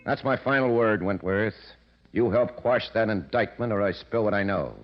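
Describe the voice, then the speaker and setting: Smug male schemer played by an actor, low-voiced and insinuating, vintage crime radio, muffled recording. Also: normal speed, lightly acted. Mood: menacing. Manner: insinuating, self-assured. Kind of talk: 1940s radio drama acting.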